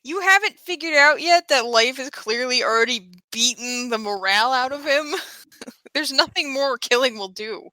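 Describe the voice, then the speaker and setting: A woman's voice: high voice, normal speed, bright, forceful tone, dry recording. A woman improvising casually as a player in a tabletop role-playing game.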